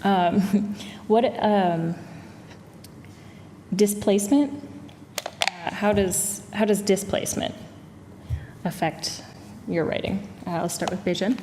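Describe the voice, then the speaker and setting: A woman moderating a book festival panel, talking quickly and casually with fillers. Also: mid-range voice. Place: large hall with audience. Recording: hall reverb, faint room noise.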